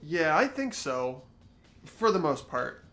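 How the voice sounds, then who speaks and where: A high, lively male voice acted by a man as an earnest teenage sleuth in a visual novel game. He thinks aloud, reasoning carefully with some hesitation. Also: slow pace, echoey room, soft background music, full character voice. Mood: hesitant, resigned. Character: earnest, hesitant teenage boy amateur sleuth, narrating thoughts.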